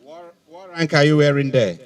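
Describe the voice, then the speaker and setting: A man's voice: a little high, animated, normal speed, recorded from a distance. A middle-aged man with a Nigerian accent, a police officer questioning suspects with probing authority on a handheld microphone outdoors. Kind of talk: public interrogation at a press briefing.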